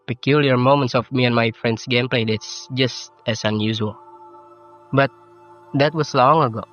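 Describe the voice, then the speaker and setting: A man's voice: medium pitch, a bit bright, lo-fi sound. Reflective Indonesian teenage guy over music. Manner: reflective, self-deprecating. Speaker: YouTuber. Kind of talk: personal vlog narration. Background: game soundtrack music.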